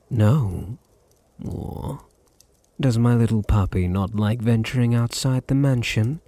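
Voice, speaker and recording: A man playing a possessive, teasing vampire master in an intimate ASMR roleplay, with a deep, husky British voice and a languid, condescending manner. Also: slow pace, pauses often, warm tone, dry recording. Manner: languid, condescending, purring endearments.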